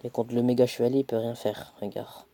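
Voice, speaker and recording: A man's voice: medium pitch, flat delivery, fairly quick, dark tone, no echo. A young man with a cold, casually commentating his own mobile game match, chatty and self-mocking, muttering as he plays. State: stuffy with a cold.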